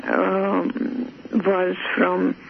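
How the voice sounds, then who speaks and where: An older woman whose first language is Hungarian talks with gravelly fry in her voice. She speaks slowly and hesitantly, often pausing and restarting. The sound is a thin, tinny phone line with a faint hiss behind her. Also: on the low side. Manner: slow, hesitant, with many fillers and restarts. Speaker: guest. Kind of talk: phone interview.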